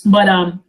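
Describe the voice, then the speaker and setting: A woman hosting a casual talk show, her deep voice raspy and hoarse after coughing, repeating phrases for emphasis. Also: a little hiss or hum. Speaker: host.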